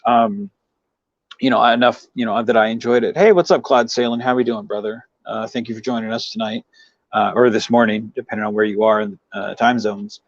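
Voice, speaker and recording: A man's voice: fairly low pitch, steady tone, fairly quick, no echo, dull audio. A man hosting a livestream in casual chat with viewers, rambling and leaning on verbal tics as he talks. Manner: rambling, frequent "you know" verbal tic. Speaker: livestream host.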